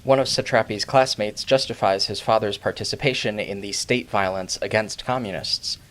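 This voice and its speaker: A man narrating a scripted video essay as its host, in a measured, formal, literary way. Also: lowish voice, a bit bright.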